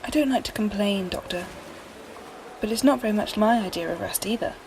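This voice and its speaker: A woman's voice: medium pitch, brisk, gravelly, close to an everyday voice. A woman playing a polite, curious young systems geek with a precise, eager manner in an audio drama. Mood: gently complaining. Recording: noisy background.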